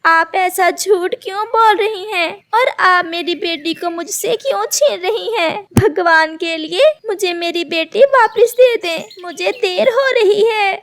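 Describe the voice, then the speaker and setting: Woman voicing an earnest, high-voiced mother bird. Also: measured pace, hardly any pauses, fairly bright tone, exaggerated voice. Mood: tearful pleading. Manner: pleading, earnest. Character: gentle, anxious mother bird devoted to her little daughter.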